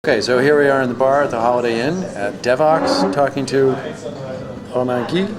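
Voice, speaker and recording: A man hosting casual interviews in a hotel bar, joking in a deadpan way. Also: medium pitch, fairly quick. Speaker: host. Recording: crowd chatter. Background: bar crowd.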